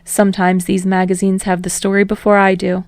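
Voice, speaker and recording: A woman's voice: mid-range voice, completely flat delivery, brisk, a little mellow, dry recording, lightly acted. A woman voicing an upbeat, coy young male celebrity in an audiobook story, with a lightly teasing, buoyant manner.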